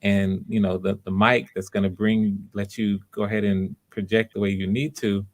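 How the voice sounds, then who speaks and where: A man's voice: fairly low pitch, little pitch movement, normal speed. A man hosting a live audition stream, talking casually in a rambling, conversational way. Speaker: audition host.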